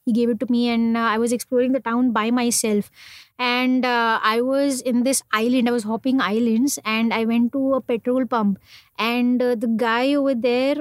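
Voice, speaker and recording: A young Indian woman telling travel stories in a relaxed interview, rambling on with plenty of ums and restarts. Her voice sits a bit high and sounds close and dry, with no room around it. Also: normal speed, no room sound. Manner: chatty, many fillers and restarts. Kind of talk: casual conversational storytelling.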